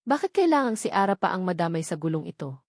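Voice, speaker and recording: A woman's voice: on the low side, sing-song, quick pace, no echo, studio quality. A woman giving a scripted, dramatic narration of a romance story.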